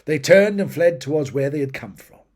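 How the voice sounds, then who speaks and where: Husky man narrating fantasy battle fiction dramatically. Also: a little high, lively intonation, moderate pace, darkish tone. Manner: theatrical, emphatic, rising and falling in intensity. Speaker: audiobook reader of fantasy fiction.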